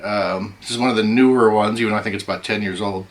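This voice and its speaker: A middle-aged man with a lowish voice hosts a casual chat, rambling and hesitant. His voice is hoarse and fading as he talks. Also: fairly even intonation, quick pace. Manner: rambling, hesitant with many ums. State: losing his voice. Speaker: host.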